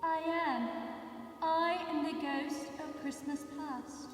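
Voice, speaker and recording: An actress playing a childlike but commanding Christmas spirit in a stage radio play, stately and deliberate, in a very reverberant theatre. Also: female voice, high voice, fairly even intonation, a little hiss or hum. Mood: serene. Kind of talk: live radio play acting. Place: theatre stage, live performance at featured mics. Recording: very echoey theatre, distant from mic.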